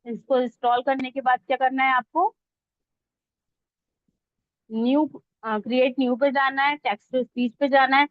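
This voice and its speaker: A woman's voice: sits high, fairly even intonation, normal speed, pauses often, no room sound. A woman instructor giving an informal step-by-step tutorial, talking conversationally and checking that listeners follow. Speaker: instructor teaching reel editing online. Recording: dull lo-fi close dry audio.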